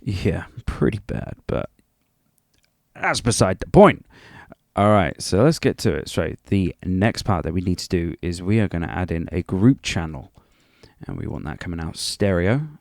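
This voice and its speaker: A man's voice: low voice, expressive, moderate pace, vocal fry, darkish tone, dry recording, studio quality. A man giving an informal music production tutorial in a rambling, chatty and self-deprecating way. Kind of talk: informal software tutorial narration.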